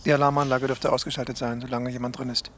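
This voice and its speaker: A man's voice: mid-range voice, little pitch movement, fast, fairly bright tone, slight background noise, lightly acted. A man playing a sober, sceptical hacker with a questioning, matter-of-fact manner in a German radio drama. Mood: focused.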